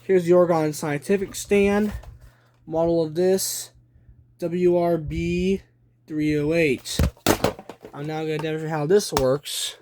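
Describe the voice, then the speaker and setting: Casting: young adult man, slightly high voice, casual hobbyist presenter, short deliberate phrases, faint noise in a handheld home recording. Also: fairly even intonation. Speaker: hobby collector presenting a video. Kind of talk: casual show-and-tell narration. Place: small room at home. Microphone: handheld phone behind the camera. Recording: noisy handheld home video with clicking.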